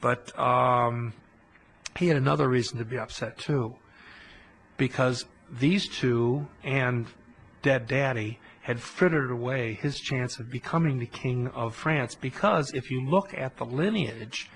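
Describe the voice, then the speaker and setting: A man giving a conversational history lecture on a podcast, telling it as an animated story with colloquial asides. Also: medium pitch, measured pace, rough recording.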